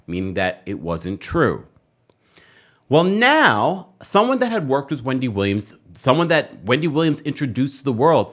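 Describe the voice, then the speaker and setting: A man with an American accent presents pop culture news to viewers. His voice sits on the low side and swings widely in pitch. He phrases things emphatically and deliberately, like a commentator. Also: a bit bright.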